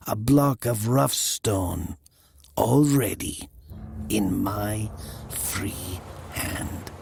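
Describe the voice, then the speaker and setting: An actor playing a haunted, guilt-ridden killer, narrating his confession in a brooding, deliberate, sometimes hushed manner. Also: fairly low pitch, creaky. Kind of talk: dramatic first-person literary narration. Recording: music behind the voice. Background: music.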